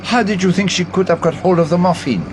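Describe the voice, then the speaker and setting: An actor playing a shrewd, courteous detective with a French-speaking European accent and formal, deliberate, probing phrasing in a radio drama. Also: male voice, sits high, background noise, lightly acted. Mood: probing, insistent. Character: shrewd, courteous foreign male private detective, probing and precise.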